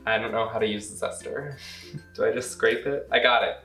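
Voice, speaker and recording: A man's voice: mid-range voice, steady tone, normal speed, bright, forceful tone, soft background music. A young American man casually walking through a recipe in his home kitchen, with playful, self-deprecating asides. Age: young adult. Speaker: cooking show host. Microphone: camera mic a few feet away. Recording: roomy kitchen echo from camera distance.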